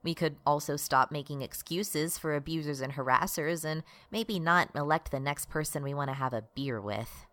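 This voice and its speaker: A woman playing a calm, reasonable character who patiently admonishes in a radio drama. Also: deep voice, moderate pace, few pauses, no echo. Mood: earnest.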